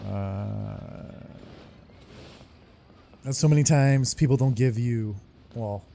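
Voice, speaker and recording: A man's voice: mid-range voice, slow, gravelly, mellow, slight background noise. A man hosting a game playthrough, commenting casually and reading game text aloud. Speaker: gaming video host. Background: faint game music.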